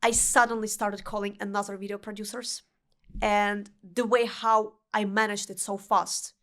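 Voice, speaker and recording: A young woman from Uzbekistan who speaks English with a Russian accent as a non-native speaker, talking earnestly with halting phrasing and restarts as a podcast guest. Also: medium pitch, little pitch movement, fairly bright tone. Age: young adult. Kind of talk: casual interview chat.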